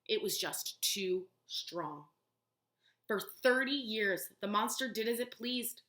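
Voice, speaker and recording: A woman voicing a kindly old male storyteller who speaks slowly and gravely, in an echoey room. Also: mid-range voice, animated, fairly bright tone. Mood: solemn.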